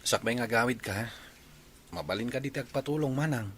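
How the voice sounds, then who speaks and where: A man's voice: medium pitch, brisk, a bit bright. A man playing a polite, persistent young suitor in an Ilocano radio comedy drama, with a Filipino accent. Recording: clear background noise and ambience.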